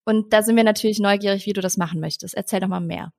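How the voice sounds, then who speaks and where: A woman speaks as the host of an interview podcast. Her voice sits at a middle pitch. She talks in a friendly, conversational way to her guest. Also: steady tone, quick pace, vocal fry, darkish tone.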